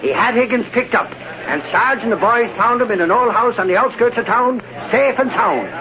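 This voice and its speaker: A man's voice: very high-pitched, moderate pace, crowd noise. A man playing a cocky, teasing newspaper reporter in old-time radio style. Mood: brisk. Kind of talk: 1930s-40s radio acting. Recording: muffled vintage radio recording, noise behind.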